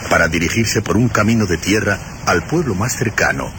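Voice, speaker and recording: Male narrator for radio suspense: very low, highly expressive, deliberate dramatic phrasing, loud background noise.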